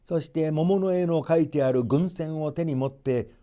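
A man's voice: on the high side, moderate pace, warm tone. A man narrating a folk tale as a storyteller, in a theatrical scripted reading.

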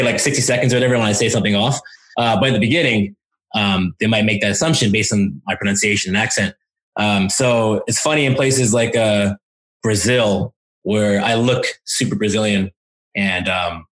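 A man speaking as an interview guest, explaining and telling anecdotes in an informal, animated way with acted-out asides. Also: fairly low pitch, rough recording. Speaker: interview guest, language coach.

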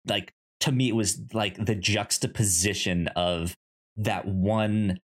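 A man's voice: on the low side, steady tone, slow pace. A man co-hosting a podcast in casual chat about film, stammering and repeating words as he goes. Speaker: podcast co-host.

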